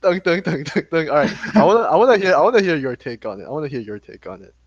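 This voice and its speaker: A young man from Malaysia co-hosts a casual podcast, rattling along quickly with mock-serious sarcasm and frequent laughter. His voice sits a little high. The sound is dry and close, with faint music underneath. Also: lively intonation, no echo, highs cut off. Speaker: podcast co-host. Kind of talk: casual chat.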